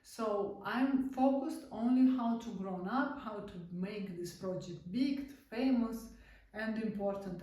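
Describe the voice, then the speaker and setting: A woman with a Russian accent, a native Russian speaker, giving a casual online drawing lesson in halting, non-native English with many restarts. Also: on the high side, warm tone. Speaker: art-therapy instructor. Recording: very echoey room, distant mic.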